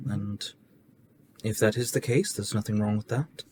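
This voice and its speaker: A man role-playing at a tabletop game, speaking in a measured, gentle way. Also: fairly low pitch. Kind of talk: in-character roleplay and table talk. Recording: noisy room ambience.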